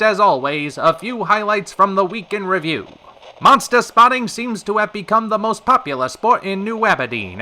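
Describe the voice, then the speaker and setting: Man playing a bright, punchy 1930s radio host. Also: high voice, poor audio. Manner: theatrical announcer delivery. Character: brisk showy 1930s radio show host. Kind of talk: 1930s radio broadcast acting.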